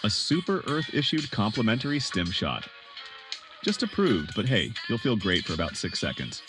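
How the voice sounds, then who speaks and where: A man playing a salesy, mock-sincere pitchman in a scripted parody military propaganda advert. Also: very animated, moderate pace. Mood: upbeat selling. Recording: music behind the voice.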